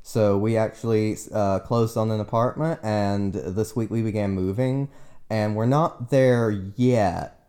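A man's voice: on the low side, steady tone, unhurried, mellow. Rambling self-deprecating young man. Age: 20s. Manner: rambling, self-deprecating, frequent restarts and fillers. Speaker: podcast guest and teacher. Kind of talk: casual podcast chat.

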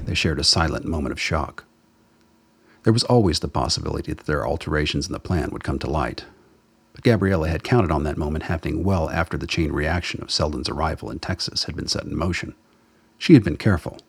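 A man's voice: rumbling low, creaky, breathy edge, no echo. A man reading scripted dramatic narration as the narrator of a radio play.

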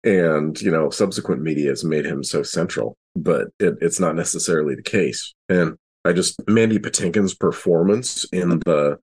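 Deep, husky-voiced man chatting casually. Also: normal speed, few pauses, darkish tone. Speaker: podcast cast member. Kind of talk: casual round-table chat.

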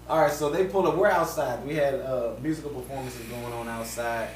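A man co-hosting a podcast in casual chat, loud and excitable, swearing freely. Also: mid-range voice, normal speed, distant mic. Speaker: podcast co-host. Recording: roomy echo, off-mic, faint hum.

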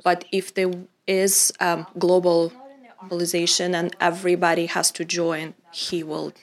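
Creaky, even-toned woman interpreting. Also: on the low side, a little slow. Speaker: interpreter, English language teacher. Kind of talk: consecutive interpreting in third person.

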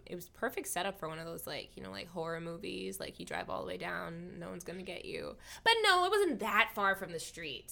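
A woman's voice: on the low side, gravelly, bright, forceful tone. A woman hosting a podcast interview in a casual, chatty way, often saying "like" as she goes. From Hawaii. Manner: informal, chatty, frequent "like". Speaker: podcast host interviewing a guest.

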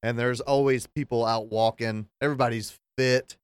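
Profane joking storyteller. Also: male voice, medium pitch, fairly even intonation, moderate pace, clean tone, no echo. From Kentucky. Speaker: podcast co-host. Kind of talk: casual chat.

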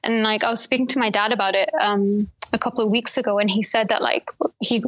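A woman's voice: medium pitch, steady tone, fast, fairly bright tone, no echo, poor audio. A young woman from Pakistan, raised in Saudi Arabia and the UK, talking thoughtfully as a guest in a casual interview. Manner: thoughtful, reflective, many fillers and restarts.

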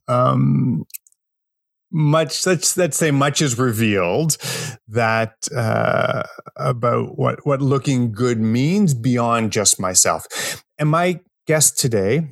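A man hosts an interview podcast at an unhurried pace. He phrases things deliberately, and the sound is dry and close, with a middling pitch. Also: dry recording. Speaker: podcast host interviewing a guest. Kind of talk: podcast introduction and conversational interview.

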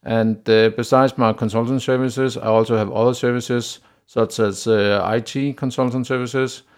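Danish-accented man with a gravelly voice. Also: medium pitch. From Denmark. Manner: steady, plain delivery with frequent "uh" pauses. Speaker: podcast host. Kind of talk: solo business podcast monologue.

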